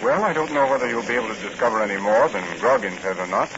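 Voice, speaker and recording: An actor playing a smooth, reserved hotel manager, measured and formally courteous, in 1940s radio drama style. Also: male voice, fairly low pitch, lively intonation, close to an everyday voice. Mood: guarded, courteous. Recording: dull, faintly noisy vintage radio recording.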